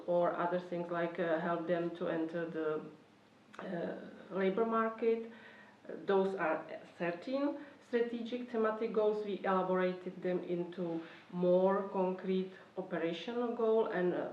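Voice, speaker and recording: A woman from the Czech Republic with a Czech accent gives an explanatory talk as a government official, choosing her phrases carefully and haltingly in non-native English. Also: on the low side. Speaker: government youth policy official. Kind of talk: explanatory talk for an online course. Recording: roomy, distant, slightly dull microphone.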